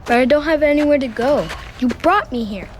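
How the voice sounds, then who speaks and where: A woman's voice: high voice, a little fast, a little hiss or hum, lightly acted. A woman playing a small, anxious, inquisitive boy in an audio drama, with an innocent, plain way of speaking. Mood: unsure, plaintive.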